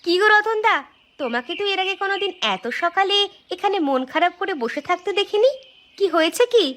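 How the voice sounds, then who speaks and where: A woman's voice: very high-pitched, animated, a little fast, fairly bright tone. A woman voicing a suspicious, nagging village wife in a cartoon, questioning pointedly and scolding. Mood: concerned, insistent. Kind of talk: cartoon dialogue acting.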